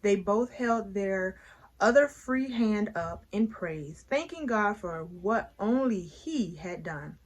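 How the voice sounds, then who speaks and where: A woman hosting a read-aloud of her own book, chatty and playful, speaking emphatically with dramatic pauses. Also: mid-range voice, animated. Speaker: author and read-aloud host.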